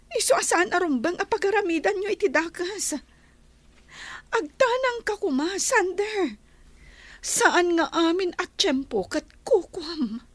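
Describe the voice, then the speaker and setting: Scolding wife, high voice, dry sound, firm, earnest, reproachful, radio drama. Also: female voice, dry recording, heavily acted. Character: firm, reproachful wife confronting her wayward husband. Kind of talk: Ilocano radio drama acting.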